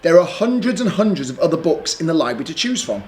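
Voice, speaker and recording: A man voicing a sensible older brother in a storybook, calm and reasoning. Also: fairly high pitch, fast. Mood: patient. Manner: calm, reasoning, gently shushing. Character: patient older brother, young boy, sensible.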